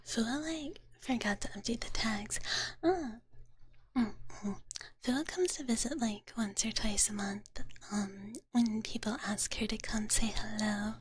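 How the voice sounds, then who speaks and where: A woman's voice: fairly high pitch, a little slow. Woman as a whispering, cutesy dragon big sister. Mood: soft, chatty. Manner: cutesy, speaks of herself in third person, filler-heavy. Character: cute, pampering dragon big-sister girl, gentle and bubbly. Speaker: ASMR live streamer. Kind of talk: whispered live ASMR, soothing chat with viewers. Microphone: close ASMR microphone. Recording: clearly noisy close ASMR recording, clicks.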